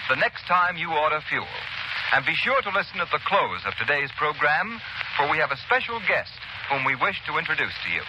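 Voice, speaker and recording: A man's voice: on the high side, very animated, brisk. A man reading scripted copy as an emphatic, formal 1930s radio announcer. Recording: dull, noisy 1939 radio broadcast.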